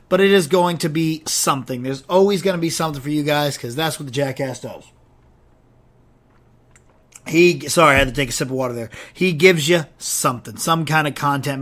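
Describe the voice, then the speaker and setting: Stuffy-nosed man chatting on his podcast. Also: fairly high pitch. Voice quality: nasal. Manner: rambling, relaxed, talks straight to listeners. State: head cold, stuffy nose. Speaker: podcast host. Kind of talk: casual solo podcast chat.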